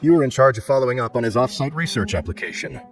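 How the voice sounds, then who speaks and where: Guy voicing stern formal office boss in a game, talks quick, faint music. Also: medium pitch, lively intonation, natural voice. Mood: stern, businesslike. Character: formal, stern but fair male research office supervisor. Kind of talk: video game voice acting.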